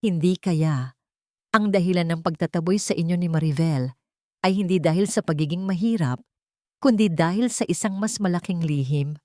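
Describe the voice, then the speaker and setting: A woman voicing a sharp, principled male lawyer who speaks in a serious, probing and determined way. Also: lowish voice, normal speed, dry recording, clean studio sound, natural voice. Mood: curious, intrigued.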